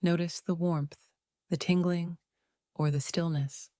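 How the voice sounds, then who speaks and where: Low-pitched woman guiding meditation. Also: steady tone, mellow, dry recording, studio quality. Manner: soothing, unhurried with long pauses. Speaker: meditation guide. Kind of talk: guided meditation narration.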